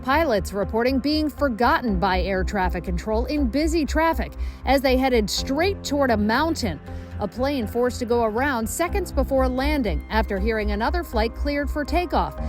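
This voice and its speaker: A woman's voice: a little high, lively intonation, moderate pace, soft background music. A woman reporter delivering scripted narration for an investigative television news report.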